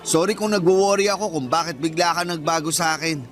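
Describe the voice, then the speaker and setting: A man's voice: on the high side, steady tone, fast talker, fairly bright tone, faint music behind, close to an everyday voice. A man playing a hurt, insistent young supermarket bagger with a Filipino accent in a radio drama. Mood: wounded, resigned. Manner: insistent, accusing, wounded. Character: hurt, confrontational young male supermarket bagger, close friend.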